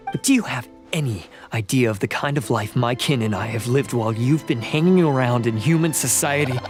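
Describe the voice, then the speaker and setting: A man's voice: a little high, close to an everyday voice. A man voicing a bitter, defiant young oni outcast turned thief in a video game, scornful and intense.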